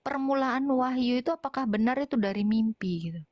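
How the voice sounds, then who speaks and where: A woman's voice: high-pitched, fairly quick, a little mellow. A woman chatting casually as she puts questions to a speaker on a livestream. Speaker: livestream participant asking questions. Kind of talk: casual chat.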